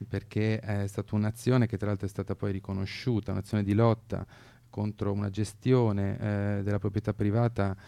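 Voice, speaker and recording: Low, gravelly, even-toned man. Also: moderate pace, dry recording. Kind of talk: earnest political discussion.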